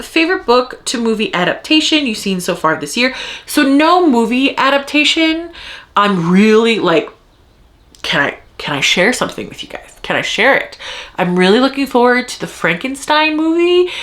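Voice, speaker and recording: A woman chatting casually to viewers as a book vlogger, thinking aloud and interrupting herself in an expressive, rambling way. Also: on the high side. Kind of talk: casual chat to viewers, answering tag questions.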